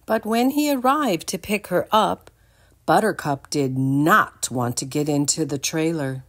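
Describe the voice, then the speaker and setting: An older woman giving an expressive read-aloud storytime for young children, in a deliberate, animated manner. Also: low voice. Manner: deliberate, animated, addresses the listeners with questions.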